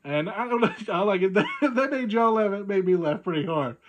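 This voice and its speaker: A man casually telling a humorous anecdote, animated and amused by his own tale. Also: high voice, brisk, highs cut off. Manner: animated, acts out dialogue, chuckles at own story. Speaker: storyteller.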